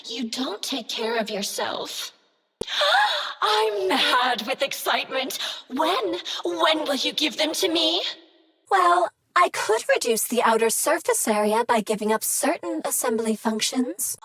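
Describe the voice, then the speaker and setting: An actress voicing a clingy, jealous female crafting machine who flirts and purrs pet names in a breathy, seductive way. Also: a little high, fairly bright tone, full character voice. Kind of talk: video game character dialogue.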